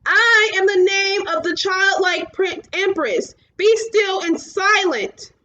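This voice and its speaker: A woman's voice: extremely high pitch, animated, takes it slowly, pushed and bright, muffled recording, pitched above the performer's own voice, exaggerated voice. A woman voicing a brave young boy hero from a storybook, calling out with urgent, commanding emphasis.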